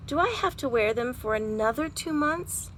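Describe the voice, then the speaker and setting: A woman's voice: high-pitched, expressive, normal speed, fairly bright tone, pitched above the performer's own voice. Woman reading as an earnest little schoolgirl, noisy recording. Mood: fretful. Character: young Japanese schoolgirl, earnest, wistful, scheming about her clogs.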